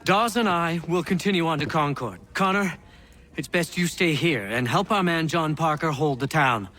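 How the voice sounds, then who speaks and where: An earnest colonial messenger in a game cutscene. His voice sits slightly high, bright and forward, with an urgent, businesslike delivery. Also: moderate pace. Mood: urgent, brisk. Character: brisk, earnest male patriot messenger rousing allies. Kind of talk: video game cutscene acting.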